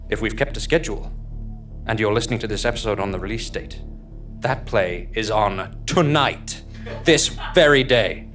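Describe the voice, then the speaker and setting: A man's voice: medium pitch, fairly bright tone. A man reading scripted narration and announcements for a comedy audio drama, tongue-in-cheek and mock-solemn.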